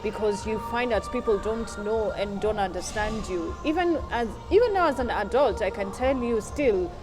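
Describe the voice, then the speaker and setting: A woman's voice: mid-range voice, animated, moderate pace, rough recording. Woman speaking earnestly, music behind. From Kenya, Nairobi. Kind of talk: interview.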